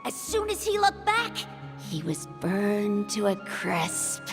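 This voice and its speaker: A woman voicing a small, friendly village child in a video game who tells stories in a dramatic, deliberate way. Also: mid-range voice, wildly swooping pitch, gravelly, big-room sound, music in the background, full character voice.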